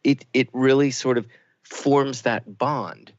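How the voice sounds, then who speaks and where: A man's voice: medium pitch, steady tone, slow, dry recording. A man co-hosting a reflective talk radio show, speaking in an affirming, expansive, emphatic way. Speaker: radio co-host. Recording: thin phone-like sound, very dry.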